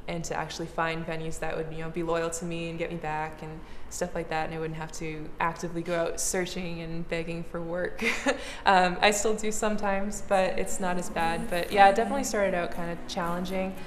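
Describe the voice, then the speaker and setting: A woman's voice: lowish voice, level tone, fairly quick, hardly any pauses, slight background noise. A woman, speaking candidly and conversationally as a singer-songwriter in a casual documentary interview. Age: 20s. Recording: echoey room with long reverb, music underneath. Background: music.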